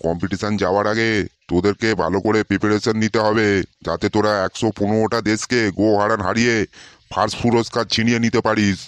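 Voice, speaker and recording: A man's voice: fairly low pitch, steady tone, quick pace, dry recording, close to an everyday voice. A man voicing a blustering, easily exasperated male quiz teacher in a comedy cartoon, scolding and exclaiming. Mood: announcing.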